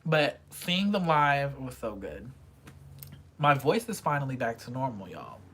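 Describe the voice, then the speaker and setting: A young woman talks through her favorite songs in a relaxed vlog, gushing like a fan. Her voice sits low but swings widely in pitch, and she takes her time. Now and then she drops to a near whisper. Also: unhurried, slight background noise. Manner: chatty fangirl, gushing, drops into quiet whispers. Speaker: K-pop album reviewer. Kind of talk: casual music review vlog.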